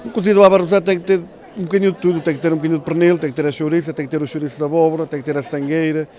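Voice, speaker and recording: A man's voice: a little high, little pitch movement, racing, a little mellow. A middle-aged man, a producer reeling off a list in an interview. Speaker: smoked-meat producer. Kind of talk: rapid listing in an interview. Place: indoor fair stall. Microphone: handheld interview microphone.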